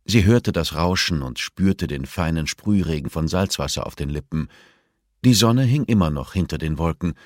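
Male audiobook narrator, low register, scripted fiction reading, dry studio sound. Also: a little mellow, no room sound.

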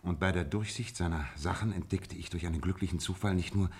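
An actor playing a shrewd, self-assured gentleman detective in a German radio play, explaining deliberately with teasing asides. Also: deep voice, breathy edge. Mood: confident, dramatic.

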